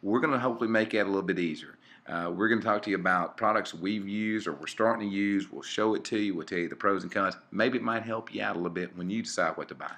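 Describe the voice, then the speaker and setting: A man's voice: fairly low pitch, fast talker, few pauses, fairly bright tone. A man, casually narrating a channel intro with many fillers and restarts. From Mississippi. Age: 40s. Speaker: channel co-host.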